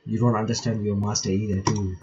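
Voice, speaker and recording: A man's voice: fairly low pitch, level tone, quick pace, darkish tone. A man hosting a visual novel playthrough, giving informal commentary and reading the game's text aloud on a home microphone. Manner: informal, reacts aloud between read lines. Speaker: reaction channel host playing a visual novel. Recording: muffled lo-fi mic with faint noise.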